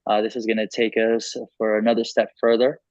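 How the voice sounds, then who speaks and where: A man's voice: fairly low pitch, monotone, normal speed, darkish tone, no room sound. A man working as a consecutive interpreter on an online group call. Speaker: interpreter between English and Spanish. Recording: dry, dull lo-fi call audio.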